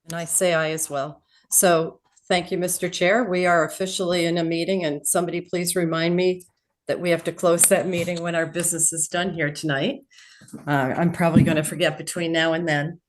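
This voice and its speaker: A woman's voice: lowish voice, flat delivery, a little fast. A woman chairing a town assessors board, speaking at a public meeting in a friendly, chatty way with a self-deprecating touch.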